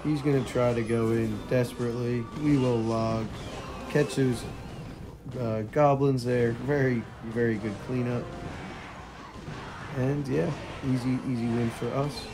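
A young man with a hoarse, partly lost voice, casually commentating a mobile strategy game and chuckling quietly as he plays. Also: medium pitch, a little slow, noisy background. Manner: relaxed, chuckles quietly while playing.

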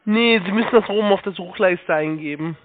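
High-pitched man on a phone line. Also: a little fast. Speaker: phone sales agent. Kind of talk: step-by-step instructions over the phone. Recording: muffled, narrow-band phone line.

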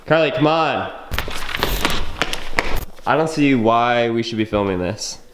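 A man's voice: medium pitch, lively intonation, slow pace. A young man playing a jittery, reluctant student cameraman in a found-footage horror film, with noisy amateur sound. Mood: uneasy.

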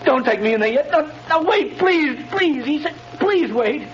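A frightened young condemned man in an old radio drama pleads breathlessly, his voice strained very high and swinging wildly. The broadcast sound is muffled, with a faint hiss behind. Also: lively intonation, normal speed. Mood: panicked. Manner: breathless, pleading, on the edge of panic. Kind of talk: 1930s radio drama acting.

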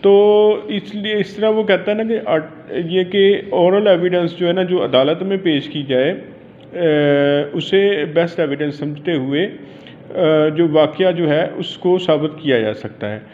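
A man with a Pakistani accent gives an informal explanatory lecture as a law teacher, halting and repeating himself and switching into English legal terms. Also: on the high side. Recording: dull lo-fi audio with faint noise.

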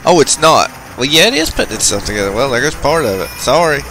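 A man's voice: mid-range voice, very animated, quick pace, pushed and bright, rough recording. A man hosting a video game channel, giving informal, chatty gameplay commentary with some slang. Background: arcade game music.

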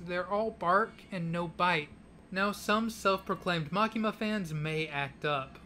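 Male anime YouTuber, bright tone, playful fake-dramatic delivery, close mic. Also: sits high. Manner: mock-serious, punning, playfully dramatic. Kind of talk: scripted video commentary.

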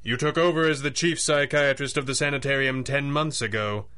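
An actor playing a suave, unflappable masked vigilante in 1930s radio style, formal and arch with dry menace. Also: male voice, medium pitch, fairly even intonation, a little fast, bright, forceful tone, close to an everyday voice. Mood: dry, probing.

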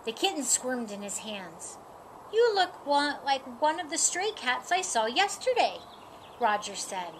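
Slow, lively woman reading aloud. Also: high-pitched, slight background noise, low-quality recording. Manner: slow, lively, lifts her voice for animal lines. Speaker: storyteller. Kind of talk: reading a picture book aloud. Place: outdoors in a sunny yard. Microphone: camera a few feet away.

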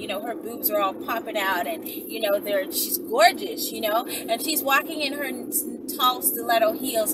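A woman in her thirties telling a story dramatically and off the cuff, as a vlogger talking in a car. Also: fairly high pitch. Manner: dramatic, acting out quoted lines. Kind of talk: animated improvised storytelling. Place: inside a car, driving. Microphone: dashboard camera at arm's length. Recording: noisy car, mic at a distance.

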